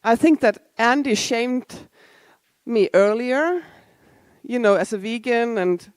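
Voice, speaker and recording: A woman's voice: fairly high pitch, lively intonation, slow, dry recording. A woman in her forties, originally from Denmark, giving a casual, self-deprecating conference talk with asides to the audience. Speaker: conference speaker. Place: conference hall.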